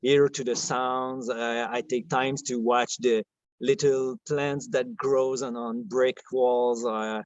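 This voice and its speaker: A man's voice: mid-range voice, level tone, slow pace, no room sound, lo-fi sound. Hesitant man with a French Canadian accent. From Quebec, Canada. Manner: hesitant, many restarts and fillers, soft-spoken introvert. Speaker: podcast guest. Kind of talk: casual interview chat.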